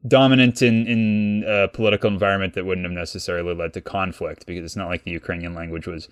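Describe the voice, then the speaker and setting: A man's voice: sits low, level tone, quick pace, clean tone, no room sound, studio quality. A man co-hosting a podcast, explaining history casually with many fillers and restarts. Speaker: podcast co-host, guest expert.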